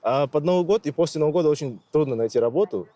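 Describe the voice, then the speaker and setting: Male interview guest from Russia, rapid speech, soft dark tone, casual and conversational. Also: a little high, lively intonation. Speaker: interview guest, migrant worker.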